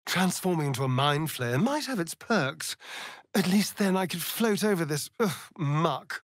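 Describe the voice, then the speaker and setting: An actor playing a sly, vain, sardonic vampire rogue in a video game, with an arch, theatrical drawl. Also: male voice, fairly high pitch, animated, a little slow, no room sound, studio quality. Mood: sly, amused. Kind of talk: video game character voice lines.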